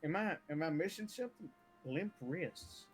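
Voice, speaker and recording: Slightly high-voiced man, stumbling banter. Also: lively intonation, normal speed, soft background music. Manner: bantering, stumbling over words. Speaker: roleplay player as police chief. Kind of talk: in-character roleplay banter.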